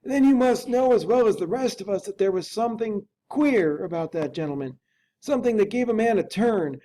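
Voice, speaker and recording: A man's voice: sits high, animated, brisk, dark tone, voice pushed up, poor audio. A man voicing an anxious, earnest and deferential Victorian butler in a dramatic reading of a classic novel, with urgent, folksy servant's speech. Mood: uneasy.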